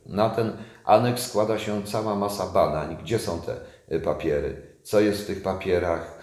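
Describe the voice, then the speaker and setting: A man's voice: low-pitched, a little slow, darkish tone. A man in his fifties, a former intelligence officer as guest, speaking emphatically and with indignation. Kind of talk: political interview. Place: room with leather sofa, indoors. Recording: echoey room.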